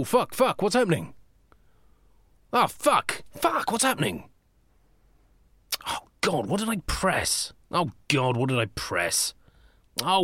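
A man's voice: high-pitched, bright, forceful tone, no echo, clean studio sound, exaggerated voice. An actor playing a brash, easily flustered, sweary man in an audio comedy, recording takes. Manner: exclamatory, blustering. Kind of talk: audio sitcom acting, repeated takes.

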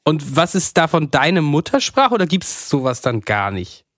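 Man, fairly high voice, dry sound, chatty, quizzing a guest, podcast host. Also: big pitch swings, normal speed, a bit bright, no echo. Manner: chatty, asks questions. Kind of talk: casual interview.

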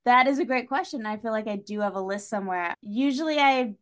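A woman's voice: a little high, brisk. A woman talking casually as a podcast guest, enthusiastic and wry, with playful asides.

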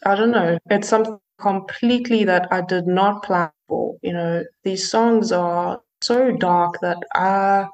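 A woman's voice: medium pitch, poor audio. Hesitant woman musician talking. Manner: hesitant, many fillers and restarts. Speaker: musician guest. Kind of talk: casual interview.

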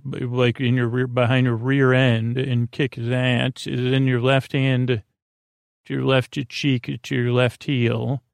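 A man hosting a bedtime podcast in a gravelly voice, meandering haltingly and often trailing off mid-thought. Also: medium pitch, no room sound, clean studio sound. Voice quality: creaky, gravelly. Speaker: podcast host. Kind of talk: rambling bedtime monologue.

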